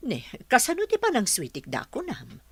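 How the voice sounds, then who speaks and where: A woman playing a brisk village schoolteacher in an Ilocano radio drama, with a Filipino accent. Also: a little high, wildly swooping pitch, husky, no room sound, heavily acted. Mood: businesslike, inquisitive.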